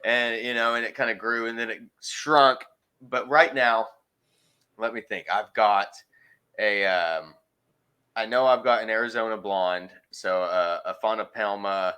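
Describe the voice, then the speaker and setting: A man's voice: on the low side, steady tone, unhurried, bright, forceful tone, clean tone. A man chatting casually as an interview guest, rambling and searching for words with frequent ums. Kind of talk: casual chat.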